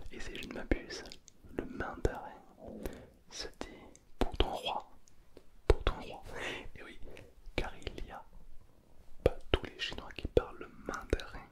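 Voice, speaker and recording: A man, giving a playful whispered ASMR lesson as a language teacher. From southern France. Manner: playful, joking asides.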